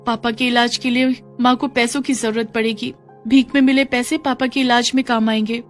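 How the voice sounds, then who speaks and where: Woman voicing an anxious little girl, high-pitched. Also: level tone, normal speed, faint music behind, lightly acted. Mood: earnest. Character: anxious, caring little girl, a poor beggar's daughter. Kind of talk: Hindi cartoon moral story dialogue.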